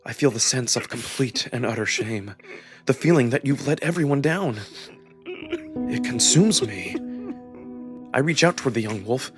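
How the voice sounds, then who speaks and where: A man narrating a first-person story dramatically, with expressive, measured phrasing. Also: medium pitch. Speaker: narrator and performer of a visual novel.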